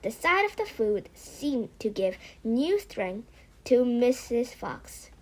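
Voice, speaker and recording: A woman narrates a children's audiobook in an expressive storytelling style. Her voice is fairly high, and she reads at a very measured, slow pace. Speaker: audiobook reader.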